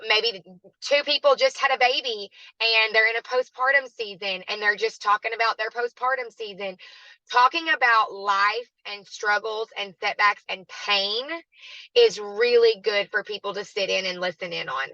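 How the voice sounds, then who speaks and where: Raspy, bright-voiced woman. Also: fairly high pitch, no echo, lo-fi sound. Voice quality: raspy, hoarse voice. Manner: chatty and encouraging, addresses listeners as "y'all". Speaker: guest speaker at a team training. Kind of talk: motivational training talk.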